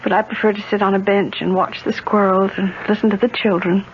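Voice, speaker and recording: An American actress playing a proud, bitter, rich middle-aged widow in a radio drama, speaking with precise, sardonic theatricality. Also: female voice, medium pitch, flat delivery, a little fast, husky, close to an everyday voice. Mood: wry, reflective. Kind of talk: 1970s radio drama acting. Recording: dull, noisy 1970s radio recording.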